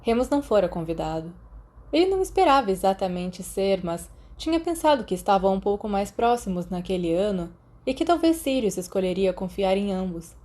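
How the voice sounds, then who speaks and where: Female narrator, Brazilian accent, dark mellow tone, mid pitch, scripted storybook reading. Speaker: audiobook narrator. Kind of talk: scripted fan fiction narration.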